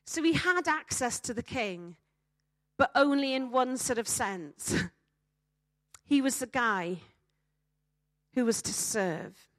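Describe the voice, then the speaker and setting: A woman with a Welsh accent preaching a sermon to a congregation, speaking emphatically with rhetorical questions and dramatic pauses. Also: on the high side, expressive, no room sound, studio quality.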